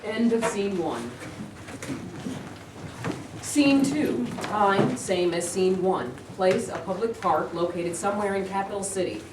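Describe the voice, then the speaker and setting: A woman's voice: medium pitch, lively intonation, takes it slowly. A woman reading out stage directions as scripted narration at a staged play reading. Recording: echoey, noisy stage recording from far off.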